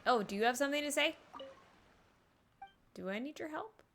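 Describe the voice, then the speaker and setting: Playful, slightly high-voiced woman streamer. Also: expressive, normal speed, fairly bright tone, slight background noise. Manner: playful, wry asides. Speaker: game streamer. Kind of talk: casual live gameplay commentary.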